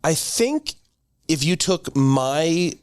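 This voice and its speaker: A man's voice: fairly high pitch, expressive, drawn-out words, clear, resonant tone. Blunt joking man in his forties. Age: 40s. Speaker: podcast co-host, gym owner. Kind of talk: casual chat.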